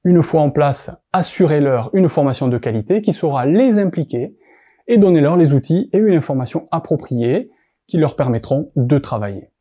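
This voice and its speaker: Man, French accent from France, very mellow dark tone, formal explainer narration, didactic delivery. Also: fairly high pitch, expressive, moderate pace. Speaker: presenter of explainer videos.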